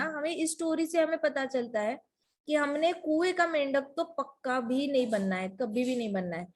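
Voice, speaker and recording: High-pitched woman, devotional storyteller, emphatic, full of rhetorical questions. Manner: mixes English words into Hindi.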